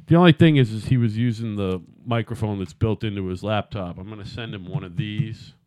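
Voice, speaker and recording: A man's voice: on the low side, brisk, a little mellow, no echo. Rambling, swearing man in his fifties. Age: 50s. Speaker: podcast host. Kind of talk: casual chat.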